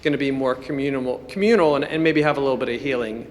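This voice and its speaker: A man's voice: medium pitch, fairly even intonation. A man giving an informal conference talk as a college teacher, conversational, often breaking off to rephrase himself. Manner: conversational and self-interrupting, with halting rephrasing. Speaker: college teacher presenting at a symposium. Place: indoor lecture hall at a symposium. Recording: reverberant hall sound.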